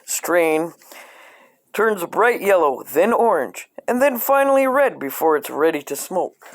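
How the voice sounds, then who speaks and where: Deadpan man reading aloud, lively pitch swings. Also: measured pace. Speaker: storyteller reading a parody children's coloring book. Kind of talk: scripted comedic read-aloud.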